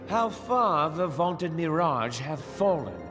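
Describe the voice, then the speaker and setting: A shrewd, haughty merchant lord for a fantasy game cutscene. He draws out his formal words slowly, with swooping, condescending emphasis. Music plays beneath the voice in a long reverberant hall. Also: a little high, big pitch swings, fairly bright tone, full character voice. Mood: cold, disdainful. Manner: pompous, condescending, measured formal speech.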